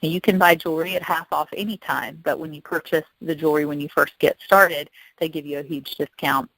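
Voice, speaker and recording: A woman's voice: deep voice, fairly even intonation, a little fast, a bit bright, slightly rough, low-quality recording. A woman giving an informal, upbeat sales presentation on a conference call, talking in a chatty, testimonial way as a guest consultant. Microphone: telephone conference call.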